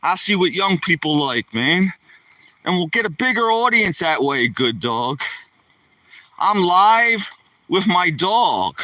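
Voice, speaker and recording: A man's voice: sits high, very animated, slow pace, sharp tone, no room sound, recorded from a distance. A man in his sixties chatting casually on a live stream while walking his dog outdoors, rambling in a folksy, slangy way into a handheld phone. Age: 60s. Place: outdoors on a neighborhood walk.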